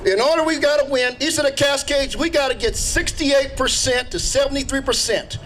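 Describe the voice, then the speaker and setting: Rousing high-voiced man rallying a crowd. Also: normal speed, a bit bright, a little hiss or hum, low-quality recording. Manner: rousing, calls out to the crowd, preacherly. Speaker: rally speaker. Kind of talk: impassioned political rally speech.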